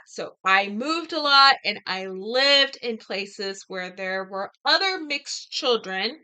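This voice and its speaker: An American woman in her thirties talking casually and reflectively to camera, with halting, deliberate phrasing. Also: on the high side, pushed and bright, smooth. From United States. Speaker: vlogger discussing a book.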